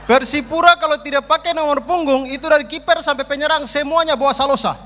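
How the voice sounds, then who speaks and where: Guy w eastern indonesian accent doing standup, high voice, big echoey hall, bit of noise. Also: quick pace, bright, forceful tone. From East Nusa Tenggara, eastern Indonesia. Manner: repeats phrases for emphasis. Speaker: stand-up comedian. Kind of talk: stand-up comedy routine. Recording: reverberant hall, faint noise.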